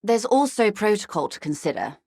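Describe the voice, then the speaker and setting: A woman playing a composed, tactful corporate negotiator in a video game, speaking in a formal, measured and diplomatic way, firm but courteous. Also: medium pitch, very animated, vocal fry, a little mellow, husky, no echo, clean studio sound, exaggerated voice. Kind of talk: video game character dialogue.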